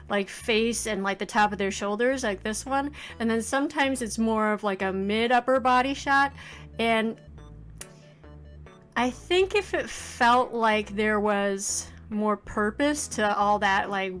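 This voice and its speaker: A woman's voice: medium pitch, a bit bright. Woman thinking aloud over game music. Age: 40s. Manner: thoughtful, hedging, thinking aloud. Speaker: let's play host, indie visual novel developer. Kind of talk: casual first-impressions game commentary.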